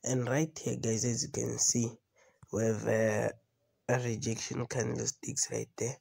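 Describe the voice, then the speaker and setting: A man with a cold talks through a phone screen recording in a casual tutorial. His voice is gravelly and husky, with a dark, mellow tone. He keeps repeating phrases and checking "you understand?". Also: medium pitch, flat delivery, unhurried, dry recording. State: has a cold. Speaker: trading video host.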